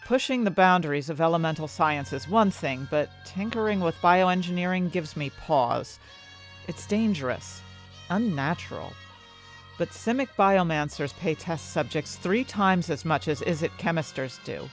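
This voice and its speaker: A woman's voice: on the low side, animated, moderate pace, soft background music. A woman acting an anxious, desperate young heroine who tells her own fantasy story in intense, dramatic first-person narration. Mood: uneasy. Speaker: narrator and main character of an audio fiction story.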